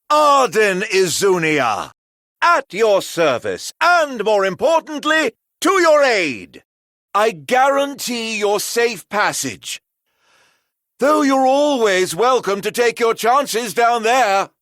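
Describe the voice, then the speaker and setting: A sly video game villain who speaks in slow, florid drawls. His pitch swings dramatically from low to high, and every phrase is steeped in theatrical, teasing courtesy. The sound is dry and close. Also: pushed and bright, no echo, heavily acted. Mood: grandly theatrical.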